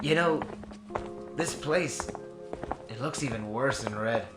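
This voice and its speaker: A man's voice: mid-range voice, takes it slowly, fairly bright tone. A man playing a nervous, bewildered hooded robber in a fan radio drama, stammering and hesitant. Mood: uneasy, dazed. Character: nervous, bewildered failed everyman turned hooded robber, panicking. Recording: echoey, music and rain behind. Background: dramatic music and rain effects.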